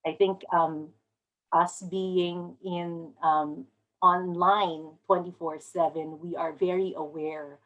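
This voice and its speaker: Earnest woman from the Philippines, lowish voice. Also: lo-fi sound. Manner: earnest, thoughtful, with fillers. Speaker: panelist, organization president. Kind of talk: panel discussion talk.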